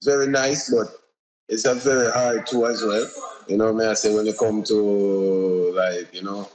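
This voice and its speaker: A Jamaican man talking about his music in a relaxed interview, unhurried and repetitive, often adding "you know what I say". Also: fairly low pitch, rough recording. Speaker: independent musician guest. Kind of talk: casual interview answers.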